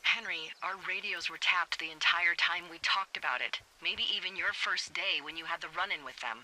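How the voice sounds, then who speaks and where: Wry, playful female fire lookout for a video game; American, very bright crisp tone, highish male-range pitch aside, heard over radio. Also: normal speed, low-quality recording. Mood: grim. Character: wry, chipper, foul-mouthed adult woman fire lookout. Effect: two-way radio. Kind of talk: naturalistic video game dialogue.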